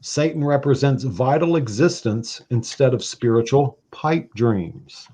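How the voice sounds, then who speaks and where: A man's voice: mid-range voice, animated, dark tone, husky. Playful man in his forties. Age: 40s. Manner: playful, reads text slowly and deliberately. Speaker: guest. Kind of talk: casual chat, reading aloud.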